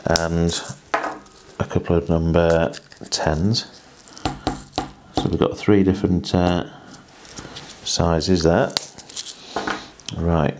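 A man in his thirties, a fishing coach, showing how to tie a rig at a kitchen table in a chatty, halting way full of restarts and tag questions, on a lapel mic. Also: sits low, little pitch movement, background noise. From England. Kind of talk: hands-on demonstration chat. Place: indoors, small home kitchen table.

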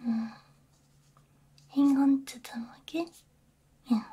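A woman whispering softly in an ASMR roleplay, gentle and reassuring, speaking close into a binaural microphone. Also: sits high, slow. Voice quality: breathy, mostly whispered. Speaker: ASMR roleplay creator playing a robot repair technician.

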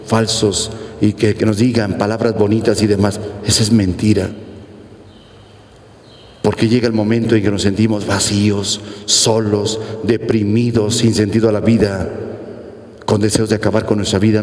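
A man's voice: fairly low pitch, a little mellow. A man in his forties with a Mexican Spanish accent, giving a homily at Mass, preaching earnestly and repeating phrases for emphasis. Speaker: priest. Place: large church sanctuary, at the pulpit. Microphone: pulpit mic, medium camera shot. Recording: echoey church with long reverb.

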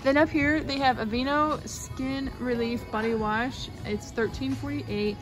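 A young woman talks through a store aisle as she films on her phone, reading out labels and prices in a casual, rambling way. Her voice sits a little high, and faint music plays in the background. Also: steady tone, unhurried, low-quality recording. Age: young adult. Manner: chatty, reads out labels and prices, lots of fillers. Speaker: shopping vlogger. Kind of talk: casual shop-with-me narration.

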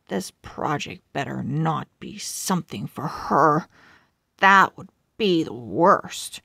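Slow, expressive woman reading a storybook. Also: on the low side, gravelly, no room sound, clean studio sound. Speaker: children's book read-aloud host. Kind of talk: slow scripted storybook read-aloud for young readers.

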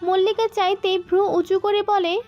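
A woman narrates a scripted romance in a very high, girlish-pitched voice. The sound is slightly dull and lo-fi, with soft music playing underneath. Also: little pitch movement, normal speed. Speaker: story narrator.

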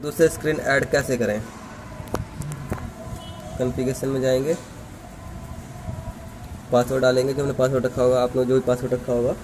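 Guy doing a plain step by step setup tutorial, average pitch, pretty noisy handheld recording indoors. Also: level tone, moderate pace, pauses often, a little mellow. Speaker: installer giving a product tutorial. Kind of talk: step-by-step demonstration, unscripted. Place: indoors in a room.

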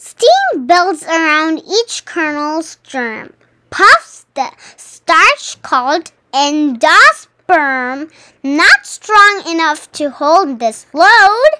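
A children's read-aloud host reading a storybook in an animated, deliberate, sing-song way. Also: very high voice, no echo.

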